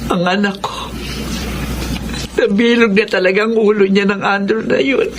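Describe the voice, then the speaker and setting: A woman's voice: medium pitch, steady tone, measured pace, noisy background, natural voice. A woman playing a sorrowful older mother who laments plaintively in a Filipino radio drama. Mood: tearful lament.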